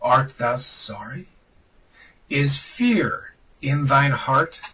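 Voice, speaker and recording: A man reading a religious text aloud in a solemn, dramatic style, declamatory and deliberate, with emphatic pauses. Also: mid-range voice, animated, bright, forceful tone, slightly rough, recorded from a distance, low-quality recording. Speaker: podcast reader of esoteric texts.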